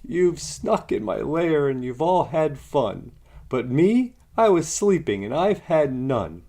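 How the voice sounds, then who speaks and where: Man doing a loud, upset storybook bear. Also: fairly high pitch, sing-song, takes it slowly, pitched above the performer's own voice, heavily acted. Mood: upset, wailing. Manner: loud, blubbery complaining. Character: big grumbling male bear, wounded and self-pitying.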